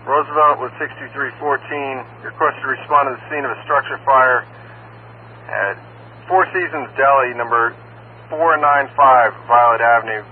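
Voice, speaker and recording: A man working as a county fire dispatcher, reading formal, procedural announcements over two-way radio. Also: medium pitch. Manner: procedural, repeats addresses and numbers in set phrases. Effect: two-way radio transmission. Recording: narrow, noisy scanner radio with hum.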